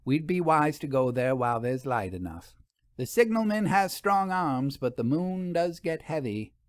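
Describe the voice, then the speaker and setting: A man's voice: a little high, sing-song, normal speed, no room sound, clean studio sound. A man voicing a genial, self-assured lady ghost hunter with a genteel, wry manner, in a storybook reading. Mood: wry amusement.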